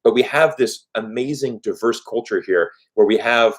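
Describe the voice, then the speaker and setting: A man's voice: mid-range voice, normal speed. A man whose was German, hosting a live-stream Q&A, talking earnestly and conversationally and often restarting phrases with "you know". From United States. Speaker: YouTube host.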